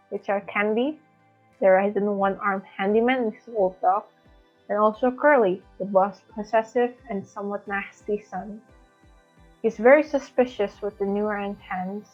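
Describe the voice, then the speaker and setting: A young woman from Indonesia reads a prepared story summary aloud at a medium pitch. The recording is narrow and phone-like, with music playing behind her voice. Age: young adult. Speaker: student reader. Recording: thin phone-like audio with background music.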